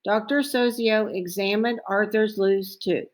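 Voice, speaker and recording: A woman's voice: medium pitch, steady tone, a little slow. A woman reading a children's storybook aloud as narrator. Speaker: storybook reader.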